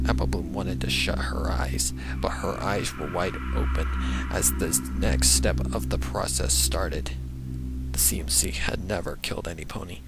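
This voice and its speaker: A man reading a scripted dark story as narrator, in a dramatic, ominous manner. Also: very deep voice, vocal fry.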